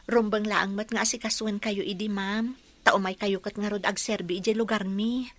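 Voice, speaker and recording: A woman's voice: mid-range voice, steady tone, moderate pace, close to an everyday voice. A woman playing a humble, grateful young single mother in an Ilocano radio drama, speaking earnestly and deferentially.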